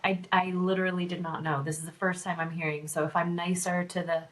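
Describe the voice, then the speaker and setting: A woman's voice: on the low side, flat delivery, fast, fairly bright tone. A woman interviewing a guest on a video call, curious and reflective in a conversational way.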